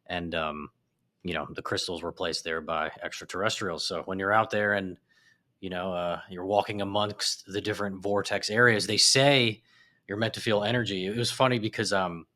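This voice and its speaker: A man chatting as a podcast guest, an animated storyteller whose voice jumps up when he gets excited. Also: low-pitched, moderate pace. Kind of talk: casual chat. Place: apartment in a big city.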